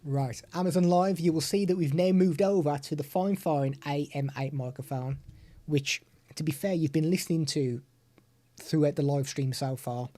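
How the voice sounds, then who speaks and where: British man, mellow live-stream host. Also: a little high, normal speed. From United Kingdom. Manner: chatty, explains step by step while chatting with viewers. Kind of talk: live-stream product demo.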